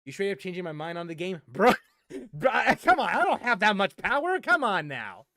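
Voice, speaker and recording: A man's voice: high voice, very animated, a little fast, pushed and bright, no room sound, studio quality. A man streaming casual game chat, rambling and often repeating his phrases for emphasis. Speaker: gaming streamer answering viewers. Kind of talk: casual livestream chat about games.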